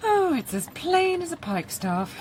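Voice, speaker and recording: A knowing young woman in a radio drama. She is witty and teasing, with a swooping, expressive delivery. Faint noise sits in the recording. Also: extremely high pitch, moderate pace. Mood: knowing, amused. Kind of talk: period radio drama acting.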